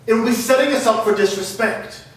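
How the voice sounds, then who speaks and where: A man's voice: extremely high pitch, brisk. A man playing a wary, strict, reticent father in a staged screenplay reading. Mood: gruff, doubtful. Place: live reading in a reverberant room. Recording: echoey noisy room, distant microphone.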